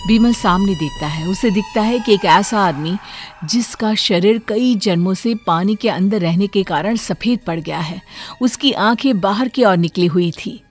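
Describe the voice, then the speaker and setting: A woman's voice: medium pitch, measured pace, soft background music. A woman narrator reading a scripted horror story.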